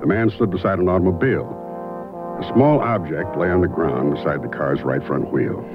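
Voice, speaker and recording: A man's voice: sits low, a little fast, close to an everyday voice. A man playing a terse, probing detective who narrates his case and questions people in a 1970s radio mystery. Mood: measured, intent. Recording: muffled vintage radio broadcast, loud music behind.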